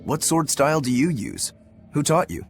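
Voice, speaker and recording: An actor playing an easygoing male swordsman demon who loves drink, talking in a relaxed, teasing way in a video game cutscene. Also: on the high side, normal speed, soft background music. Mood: curious. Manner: laid-back, teasing.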